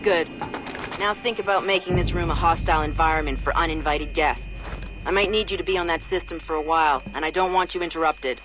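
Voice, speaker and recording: An actress playing a cool, controlled intelligence handler in a video game, giving brisk, composed instructions. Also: female voice, low-pitched, fairly bright tone. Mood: businesslike. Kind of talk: video game cutscene acting. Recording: music behind the voice.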